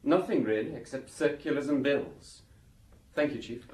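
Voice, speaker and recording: A man's voice: medium pitch, moderate pace, a little mellow, a little hiss or hum, natural voice. Young junior officer, male actor for audio drama: dutiful, deferential, mid-range voice. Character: young junior policeman, respectful, eager, dutiful.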